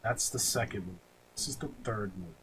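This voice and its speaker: A man's voice: fairly low pitch, fast, a bit bright, slight background noise, low-quality recording. A man hosting a podcast in casual, informal chat with some swearing. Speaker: podcast host. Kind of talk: casual chat, film ranking banter.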